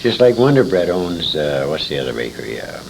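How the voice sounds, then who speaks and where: Older American man, very deep gravelly voice. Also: very animated, brisk. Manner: emphatic, assured, corrects the interviewer. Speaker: interviewee, former radio actor. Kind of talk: reminiscing in an interview. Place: convention interview recording. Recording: noisy, humming amateur tape recording.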